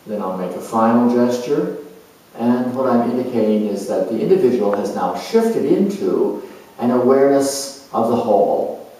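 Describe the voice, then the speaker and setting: Casting: male lecturer, unhurried and deliberate, explaining with long pauses, heard from a distance in a reverberant space. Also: mid-range voice, expressive, mellow. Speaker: astrologer, presenter. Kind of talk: measured explanatory lecture introducing a performance. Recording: very echoey room, distant mic.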